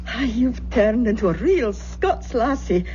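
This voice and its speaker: An actress playing an affectionate, folksy older country aunt in a 1970s radio drama. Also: female voice, a little high, sing-song, warm tone. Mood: fond, playful. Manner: folksy, old-fashioned phrasing, unhurried. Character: affectionate, homely old Scottish country aunt. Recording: muffled, hissy old radio recording.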